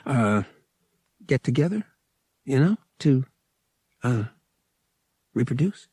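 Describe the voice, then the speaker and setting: A man voices a wry young male pilot in an audiobook. He talks casually and a little hesitantly, with slang. The voice is husky and swings widely in pitch, recorded close and dry. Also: very animated, slow pace, a little mellow, no echo, full character voice. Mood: curious, awkward.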